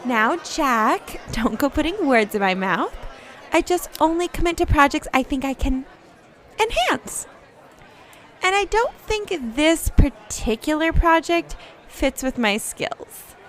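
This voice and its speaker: A woman's voice: high-pitched, big pitch swings, takes it slowly, fairly bright tone, exaggerated voice. A woman playing a vain, poised celebrity superhero being interviewed in an audio drama, diplomatic and condescending. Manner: smooth diplomatic condescension, easily flustered. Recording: crowd murmur behind.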